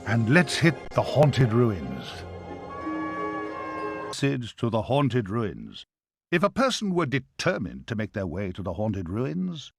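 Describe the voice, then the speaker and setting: A man's voice: medium pitch, creaky. An actor voicing a droll, chummy boatman guide with a wry, theatrical delivery in a video game. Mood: sly. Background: loud music.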